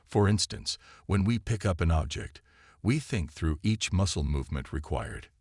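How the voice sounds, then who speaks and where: Guy doing a bright young alien girl student in a sci-fi story, confident, explains carefully, low husky voice. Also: animated, normal speed, no echo, studio quality.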